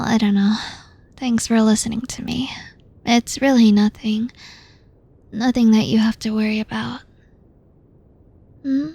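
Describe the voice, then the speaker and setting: A woman voicing a gloomy young woman who confides in a close friend, talking softly and often half-whispering, with sighs. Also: fairly high pitch, no room sound, heavily acted. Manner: soft-spoken, often half-whispered, sighing. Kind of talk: one-sided audio roleplay to the listener.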